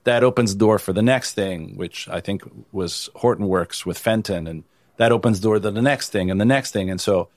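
A man's voice: fairly low pitch, brisk, no room sound. A man born in Italy, speaking as a guest investor in an interview, casual and full of restarts. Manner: casual, frequent restarts and "you know". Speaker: venture capital investor, guest. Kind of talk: conversational interview answers.

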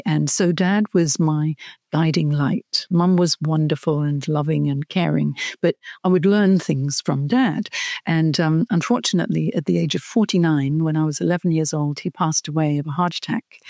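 A woman's voice: low voice, creaky, darkish tone, no echo. Middle-aged woman from hong kong, earnest coach talking it through, dry close sound. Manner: earnest, reflective, with restarts. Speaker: career coach guest. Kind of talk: interview storytelling.

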